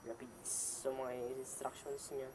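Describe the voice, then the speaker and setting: A man with a Filipino accent giving a casual product demonstration as a vlogger, halting as he goes and slipping between his own language and English phrases. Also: mid-range voice, little pitch movement. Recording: clearly noisy amateur vlog recording.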